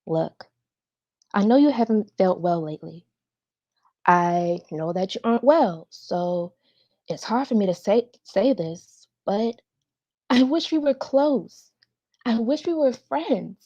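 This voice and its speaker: A woman's voice: medium pitch, expressive, takes it slowly, pauses often, dark tone. A woman performing a dramatic monologue as a hurt, resentful daughter pleading with and accusing her mother, over slightly dull call audio.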